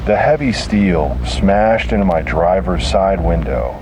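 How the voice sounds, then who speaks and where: Male horror narrator, very low register, dramatic and deliberate, on a noisy found-tape recording. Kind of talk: scripted first-person horror narration.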